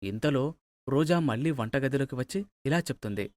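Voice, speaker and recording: A man's voice: medium pitch, lively intonation, a little fast, a little mellow, no echo. A man narrating a scripted moral story.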